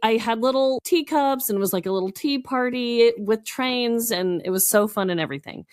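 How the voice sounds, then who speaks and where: A woman co-hosting a podcast, telling casual comic stories in a chatty, animated and expressive way. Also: fairly high pitch, moderate pace, clean tone. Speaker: podcast co-host. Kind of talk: casual comedic storytelling.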